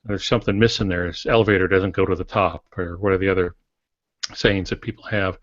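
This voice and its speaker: A man's voice: sits low, fairly even intonation, fairly quick, no room sound. A man co-hosting a podcast, discussing fiction writing in a casual, reflective, folksy way. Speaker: podcast co-host and novelist. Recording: dull lo-fi podcast audio.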